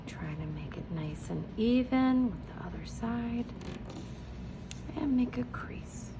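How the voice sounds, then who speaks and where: A woman's voice: medium pitch, creaky, noisy background. A woman teacher giving patient, encouraging step-by-step craft instructions to young children, often asking a question and answering it herself. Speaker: preschool teacher, host of a children's read-aloud and craft video.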